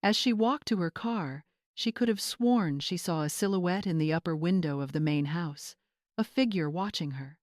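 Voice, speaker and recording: Low-pitched woman narrating, very dry sound. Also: moderate pace, a little mellow, no room sound. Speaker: audiobook narrator. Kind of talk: dramatic scripted story narration.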